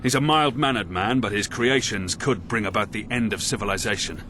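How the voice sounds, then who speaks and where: An actor playing a grim, dutiful military commander in a video game, speaking in an earnest, formal, authoritative way. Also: male voice, on the low side, a little fast, over music, close to an everyday voice. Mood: grave, explaining. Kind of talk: video game cutscene acting.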